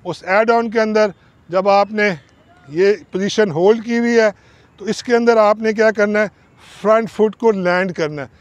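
A man's voice: sits high, unhurried, no echo. A middle-aged man, a cricket coach explaining a bowling balance drill step by step and counting the repetitions aloud, speaking outdoors on a lapel mic. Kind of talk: instructional coaching video.

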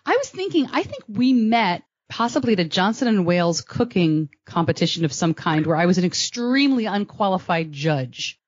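A woman's voice: moderate pace, few pauses, highs cut off. A woman hosting a podcast, reading a sponsor spot and interviewing a guest in an upbeat, laughing manner from a home studio microphone. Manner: upbeat, laughs often, animated pitch swings. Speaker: podcast host.

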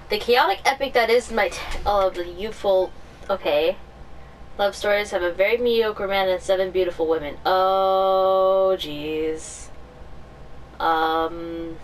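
Slow-talking woman streamer, casual. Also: medium pitch, a little hiss or hum. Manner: hesitant, trailing off while reading aloud. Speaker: gaming streamer. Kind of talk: casual game playthrough commentary. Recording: distant mic, faint background game ambience.

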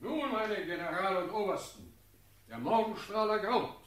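An actor playing a fatherly, authoritative ruler in a classical radio drama, with measured declamation. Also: high voice, big pitch swings, fairly bright tone. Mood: commanding. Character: stately, fatherly sovereign prince commanding his army. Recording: echoey, reverberant room.

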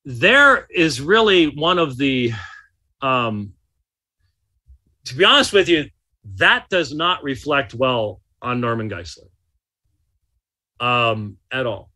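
An older man commenting from inside a small vehicle, talking with animated emphasis and frequent restarts. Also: mid-range voice, slow pace, pauses often. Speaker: podcast host. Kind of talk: casual commentary.

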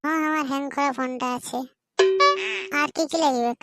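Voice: high voice, steady tone, a little fast, loud music behind the voice. A performer voicing an eager, chatty village student boy in a comedy cartoon, with a rural Purulia Bengali accent and colloquial banter. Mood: explaining.

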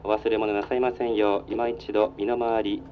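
A man's voice: level tone, moderate pace. A man working as a train conductor makes formal, polite onboard announcements over a public address speaker. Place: inside a moving train. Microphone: recorded from the carriage speaker. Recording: muffled train PA, rumbling vehicle noise. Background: train running noise.

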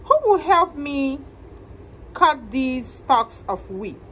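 Storybook mother hen, read by a woman: high, slow, resolute and industrious, with noise behind. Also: big pitch swings, fairly bright tone, heavily acted. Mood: hopeful asking.

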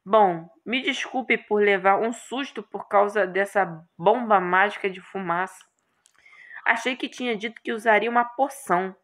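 A woman's voice: medium pitch, normal speed, a bit bright, natural voice. A woman voicing a brash, irritable young male hero in a game, exclamatory and sarcastic. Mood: incredulous.

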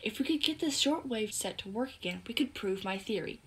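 A woman's voice: mid-range voice, lively intonation, fairly quick, natural voice. A female performer voicing an eager, bossy, clever young girl who confidently explains things in a scripted audio drama.

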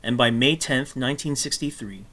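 A man reading scripted documentary narration in a measured, phrase-by-phrase manner. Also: mid-range voice, fairly bright tone. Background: guitar music.

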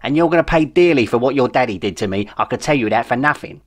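A man's voice: mid-range voice, lively intonation, quick pace, voice pushed up, lightly acted. Man putting on a brash Cockney alien queen. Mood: menacing, sarcastic. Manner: brash, bawling, sarcastic, slangy. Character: tall, bald alien warrior queen, bossy and vengeful.

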